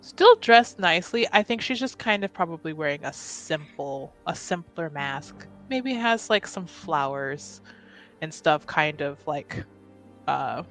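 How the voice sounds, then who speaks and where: A woman's voice: deep voice, animated, unhurried, soft background music, low-quality recording. A woman playing a tabletop roleplaying game, casually improvising narration for her character and hesitantly thinking aloud.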